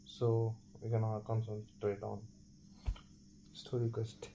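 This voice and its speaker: Casual male streamer, slow and very mellow-dark, mid pitch, faint music under the talk. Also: monotone, vocal fry, a little hiss or hum, rough recording. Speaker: game streamer. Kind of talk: casual live stream chat.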